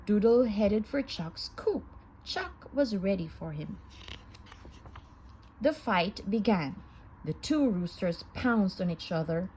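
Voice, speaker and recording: A woman reading a children's story aloud in an expressive, sing-song manner. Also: medium pitch, slow pace, dull audio, slight background noise. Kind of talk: children's read-aloud narration.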